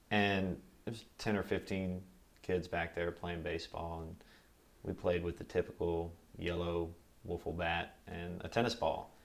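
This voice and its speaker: An American man giving a recorded oral history interview, recalling his life in a measured, reflective way. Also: sits low. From Kentucky, United States. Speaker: interviewee, military veteran.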